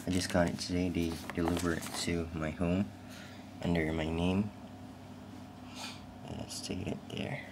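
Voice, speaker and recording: Young guy, Malay speaker, slow unhurried unboxing narration, heavy background noise. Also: sits low, level tone. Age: young adult. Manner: hesitant, drifting to whispers and back. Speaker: unboxing vlogger. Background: packaging crinkling and clicks.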